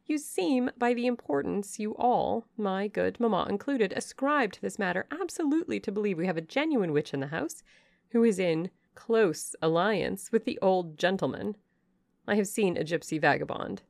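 A woman's voice: a little high, very animated, a little slow, vocal fry, few pauses, no echo, clean studio sound, pitched above the performer's own voice, heavily acted. A woman voicing a haughty young noblewoman who gives orders and speaks with scorn. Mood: scornful. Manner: commanding, scornful.

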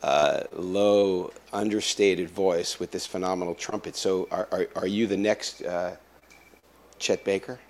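A man hosts a conversational interview in a very low voice. He often stops and restarts his phrases, filling the gaps with ums and uhs. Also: steady tone, darkish tone. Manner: halting, many restarts and fillers. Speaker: interviewer host.

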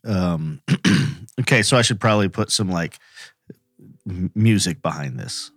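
A man co-hosting a podcast in casual chat, with halting phrasing. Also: sits low, fairly bright tone, no echo, clean studio sound. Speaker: podcast co-host.

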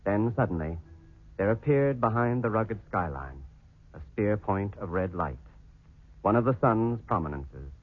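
An actor playing a solemn British crewman who narrates a space chronicle in a 1950s radio serial, in a grave, deliberate way. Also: on the low side, lively intonation, husky. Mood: solemn, descriptive. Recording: muffled, band-limited 1950s radio recording.

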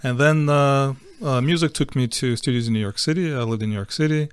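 A middle-aged man from Ukraine, a guest telling his story in a casual interview, halting, with many fillers and restarts. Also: medium pitch, clear, resonant tone, no room sound.

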